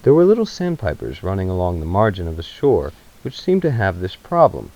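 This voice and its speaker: A man's voice: deep voice, huge pitch swings, a little fast, a little mellow, dull audio. A man reading a story aloud as narrator, in a dramatic literary style. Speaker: narrator, reader.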